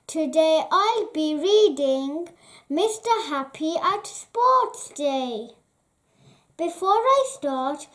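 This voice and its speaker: A woman reading a children's story aloud in a deliberate, carefully phrased way with frequent pauses. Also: very high voice, a bit bright. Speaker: children's storybook reader, channel host.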